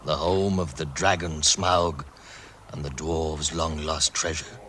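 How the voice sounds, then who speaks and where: A man narrating a story in the measured, scripted style of 1960s radio drama. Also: extremely low pitch, fairly even intonation. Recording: vintage radio recording under prominent music.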